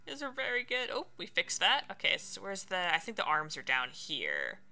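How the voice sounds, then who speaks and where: A woman's voice: mid-range voice, huge pitch swings, moderate pace, sharp tone. A woman doing casual Let's Play commentary, chatting and thinking aloud as she plays. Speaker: streamer playing a video game.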